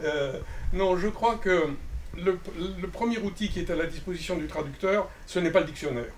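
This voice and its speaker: Man speaking from a distance, measured. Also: high-pitched. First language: not Romanian. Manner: measured, reflective, with frequent restarts. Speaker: literary translator. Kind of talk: bookshop panel discussion. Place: bookshop event. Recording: distant mic with faint ambient noise.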